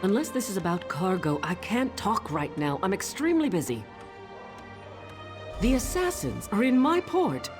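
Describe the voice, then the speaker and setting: An actress playing a busy, no-nonsense dockmaster in a fantasy game, brisk and commanding. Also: female voice, mid-range voice, very animated, close to an everyday voice. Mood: brisk, stern. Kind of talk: video game voice acting. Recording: loud music under the voice.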